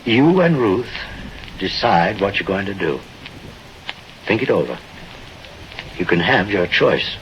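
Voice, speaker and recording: An actor playing a godlike, fatherly older man who speaks slowly and persuasively in an old-time radio drama. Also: sits low, very animated, a bit bright, full character voice. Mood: fatherly, frank. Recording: dull old radio recording, noisy. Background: rain and thunder.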